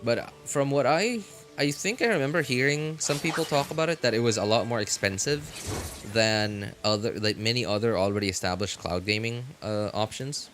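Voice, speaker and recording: A man's voice: mid-range voice, moderate pace, fairly bright tone, slight background noise. A man chatting casually on a livestream as a game streamer, rambling in slangy talk and often repeating his phrases. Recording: music behind the voice. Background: background music.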